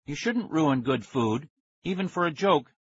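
A man's voice: fairly high pitch, animated, a little slow, dull audio, slight background noise, voice pushed up. Man playing a sensible, scolding mom. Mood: mildly scolding. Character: boy hero's disapproving, sensible mother. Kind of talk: children's audiobook character acting.

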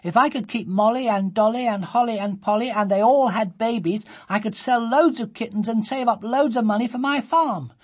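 A woman's voice: a little high, normal speed, hardly any pauses, breathy edge, voice pushed up. A woman voicing a determined little girl who wants a farm, talking in an earnest, stubborn way. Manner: earnest, stubborn, sulky when thwarted.

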